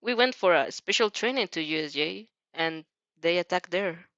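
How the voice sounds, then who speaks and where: A woman's voice: on the low side, lively intonation, moderate pace, a bit bright, dry recording, dull audio. A woman voicing an anxious teenage girl hero student in an anime fan story, hesitant and faltering. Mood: anxious, confessing.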